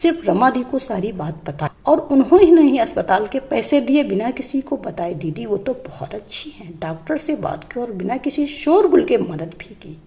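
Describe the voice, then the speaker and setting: A woman's voice: a little high, very animated, moderate pace, creaky, few pauses, dark tone, a little hiss or hum. A woman storyteller voicing an earnest young man, the distressed younger brother in a family tale. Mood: grateful.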